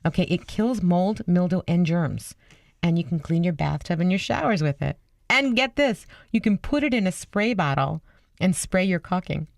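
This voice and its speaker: A woman's voice: fairly low pitch, animated, normal speed, creaky, no echo, studio quality. A woman co-hosting a casual radio show, talking in a chatty, enthusiastic way. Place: radio studio.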